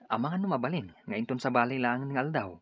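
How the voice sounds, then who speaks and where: A man with an Ilocano accent playing a smooth-talking, persuasive stranger in a radio drama. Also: mid-range voice, expressive, fast talker, dry recording. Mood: courteous, flattering. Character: Edgar, smooth-talking male stranger, persuasive recruiter for a group. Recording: dull lo-fi radio drama recording.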